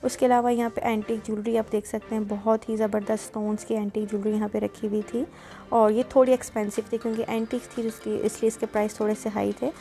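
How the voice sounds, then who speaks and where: A woman's voice: on the high side, level tone, quick pace, mellow. A woman vlogger, chatting through a market tour and explaining prices. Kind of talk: casual voice-over narration.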